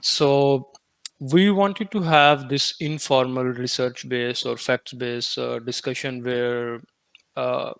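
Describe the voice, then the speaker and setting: A young man from Pakistan hosts a casual podcast, his voice at a middle pitch and recorded dry and close. He talks haltingly, with plenty of fillers, and slips between English and Hindi-Urdu. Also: fairly even intonation, gravelly, a bit bright, clear, resonant tone, no echo. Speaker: podcast host.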